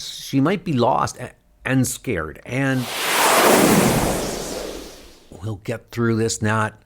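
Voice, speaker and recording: Man as Steel, an anguished inventor hero. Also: on the low side. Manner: earnest, worried. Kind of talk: comic book audio drama. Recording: music under the voice. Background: music.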